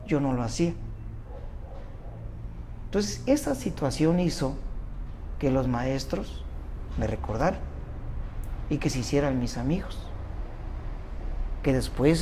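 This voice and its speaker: A man's voice: fairly high pitch, dark tone, slight background noise. An older man with a Mexican accent, a doctor telling his life story as an interview guest, rambling and correcting himself as he goes. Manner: rambling, self-interrupting recollection. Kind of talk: conversational storytelling.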